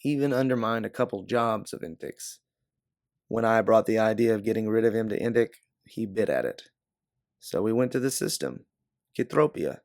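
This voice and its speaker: A man's voice: lowish voice, lively intonation, normal speed, vocal fry, darkish tone, no room sound, studio quality. A man voicing a guarded, hard-bitten female bounty hunter in a science fiction audiobook. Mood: bitter, matter-of-fact. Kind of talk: audiobook character dialogue.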